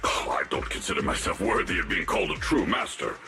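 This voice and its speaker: An actor playing a stern but humble martial arts master in a video game, speaking in a measured, grave, lecturing way with a gravelly voice. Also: male voice, very deep voice, animated, bright, forceful tone, full character voice. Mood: calm, humble. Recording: roomy echo, music underneath.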